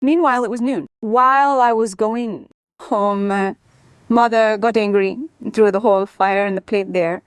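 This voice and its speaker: A woman's voice: mid-range voice, fairly even intonation, moderate pace, smooth, no room sound. A woman, an interview guest telling personal stories and acting out quoted family dialogue as she goes. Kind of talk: personal storytelling.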